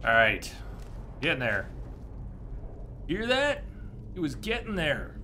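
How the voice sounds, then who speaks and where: Man, game streamer, casual chat, lively swinging pitch, playful, exclamatory, noisy game ambience behind. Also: slow pace. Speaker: video game live streamer. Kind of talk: casual gameplay commentary and chat with viewers. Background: fantasy video game sounds.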